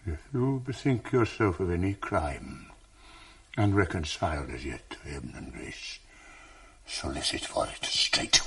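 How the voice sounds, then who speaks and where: A brooding, jealous general: low, husky, slow, measured verse, whispers that break into rage. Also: male voice, lively intonation, heavily acted. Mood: grave, menacing. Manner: brooding, swings from hushed whispers to outbursts. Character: tormented jealous general, grave and commanding. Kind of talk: classic stage verse radio drama.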